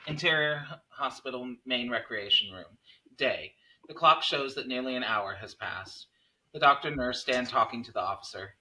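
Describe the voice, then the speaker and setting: Bright-voiced man reading stage directions. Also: medium pitch, animated, moderate pace, poor audio. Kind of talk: scripted screenplay narration.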